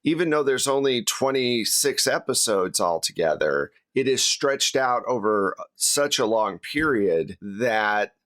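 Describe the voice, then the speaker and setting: A man's voice: mid-range voice, lively intonation, measured pace, few pauses, a bit bright, dry recording. A man speaking casually as host and game master in a round-table discussion.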